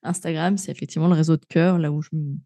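A podcast host from France talks in a loose, chatty way, stringing thoughts together with fillers and easy laughter. Her voice is very dark and gravelly. The recording is dry and close. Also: sits low, fairly even intonation, fast talker, no room sound. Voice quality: frequent fillers and restarts, laughs easily. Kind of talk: casual interview, then outro to listeners.

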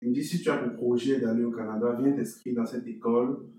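A man's voice: medium pitch, fairly even intonation, quick pace, warm tone. A young man from Cameroon, a podcast guest telling his story in an earnest way and repeating words as he thinks. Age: young adult. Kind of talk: conversational interview. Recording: echoey distant room sound.

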